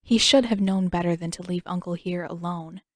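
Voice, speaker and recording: A woman's voice: fairly low pitch, steady tone, moderate pace, dry recording, studio quality. A woman reading a story as scripted audiobook narration, in the manner of an amateur narrator.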